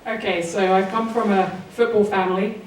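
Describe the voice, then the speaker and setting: A woman's voice: mid-range voice, fairly even intonation, moderate pace. A woman in her forties with a southern English accent, giving earnest, articulate answers as an interview guest. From England. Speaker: interview guest, sports author. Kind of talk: interview answers, personal storytelling. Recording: roomy echo, distant mic, faint noise.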